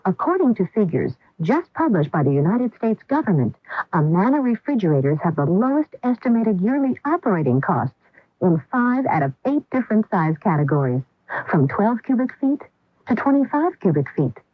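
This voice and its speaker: Animated female radio ad announcer. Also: mid-range voice, normal speed, dry recording. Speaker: commercial announcer. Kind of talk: scripted radio advertisement. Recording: dry, thin phone-like AM radio recording.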